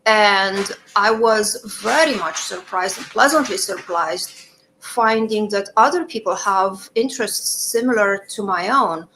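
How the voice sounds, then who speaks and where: A woman from Romania, an English speaker, answering interview questions thoughtfully over a video call, pausing with fillers and laughing easily. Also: mid-range voice, sharp tone, slight background noise, poor audio. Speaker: author guest.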